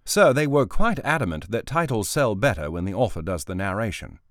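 A man's voice: on the low side, expressive, brisk, fairly bright tone, no echo, clean studio sound. A man voicing a dutiful male personal assistant who speaks formally and politely. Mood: deferential.

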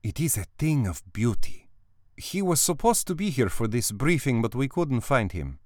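A man's voice: medium pitch, big pitch swings, normal speed, no room sound, clean studio sound, voice pushed up, lightly acted. A man voicing a tattooed female expedition armorer who loves her weapons, speaking eagerly and matter-of-factly. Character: woman, tattooed expedition armorer, gun-loving.

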